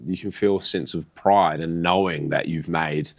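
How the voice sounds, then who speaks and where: Deep-voiced male podcast interviewer. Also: steady tone, normal speed, gravelly. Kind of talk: casual conversational interview.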